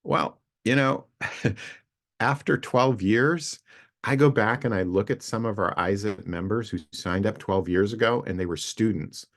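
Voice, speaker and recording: Man, late sixties, very low voice, creaky, video call, casual rambling talk. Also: a little slow. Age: 60s. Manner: rambling, friendly, with fillers and self-restarts. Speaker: co-founder introducing an online community session.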